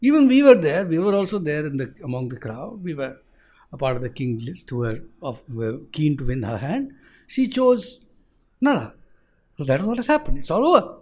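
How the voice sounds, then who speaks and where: A man's voice: on the high side, very animated, moderate pace, a little mellow, pitched below the performer's own voice. A man voicing a proud male king of the gods who lectures and warns emphatically. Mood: amused.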